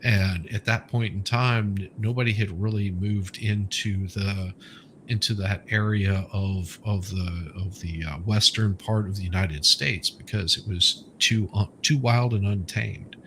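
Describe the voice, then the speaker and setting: Low, bright-toned Southern man, casual host, stop-start delivery. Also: steady tone, a little hiss or hum. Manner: halting, repeats words as he starts sentences. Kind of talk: casual chat.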